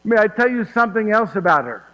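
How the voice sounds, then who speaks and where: A man's voice: sits high, very animated, fast talker, bright, forceful tone, dull audio, full character voice. A man playing Joseph, fond storyteller. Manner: folksy, laughing, asks "yes?" often. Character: warm old Joseph of Nazareth, chatty storyteller. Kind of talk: one-man stage monologue. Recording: hall reverb.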